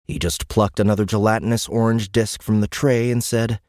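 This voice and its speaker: A man reading scripted dramatic audiobook narration in a measured, suspenseful manner. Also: fairly low pitch, level tone, darkish tone, no room sound, clean studio sound.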